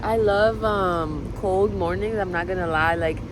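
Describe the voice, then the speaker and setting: A young woman whose first language is Spanish, thinking out loud in a rambling, reflective monologue full of fillers, recorded outdoors. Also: on the low side, unhurried. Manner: musing, filler-heavy, drifting between thoughts. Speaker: vlogger. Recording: street and traffic noise behind.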